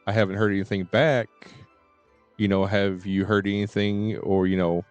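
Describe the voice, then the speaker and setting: A man's voice: lowish voice, fairly even intonation, normal speed, darkish tone, clear, resonant tone, no room sound. A man chatting casually on a livestream, informal and rambling, with repeated filler phrases and some cursing. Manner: informal, mildly cursing, repetitive "you know". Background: faint game music and dialogue.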